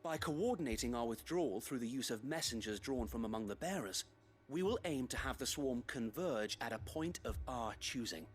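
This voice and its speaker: An actor playing an earnest, resolute knight commander, speaking formally and precisely in a dramatic fantasy game. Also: male voice, mid-range voice, expressive, moderate pace, close to an everyday voice. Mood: resolute, measured. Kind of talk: dramatic video game acting.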